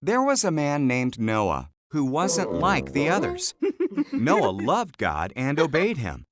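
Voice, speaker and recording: A narrator reading a children's Bible story in simple, measured short phrases, in a scripted storytelling style. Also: mid-range voice, huge pitch swings, noisy background. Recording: loud music under the voice. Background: loud background music.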